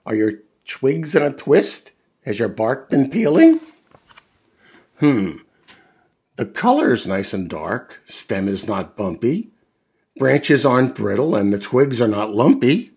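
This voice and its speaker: A man voicing an upbeat, helpful male tree doctor in brisk, cheerful rhyming patter. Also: mid-range voice, big pitch swings, a little mellow, slightly rough, exaggerated voice.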